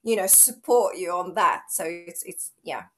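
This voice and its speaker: A woman's voice: on the low side, lively intonation, unhurried, a bit bright. A middle-aged woman from Finland, a fitness trainer speaking as an interview guest, outgoing and chatty with frequent restarts. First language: Finnish. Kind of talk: casual interview chat.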